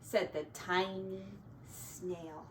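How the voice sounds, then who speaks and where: A woman's voice: fairly low pitch, little pitch movement, extremely slow. A woman teacher reading a rhyming children's picture book aloud theatrically, drawing out key words and adding little asides. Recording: roomy echo, distant mic, faint noise.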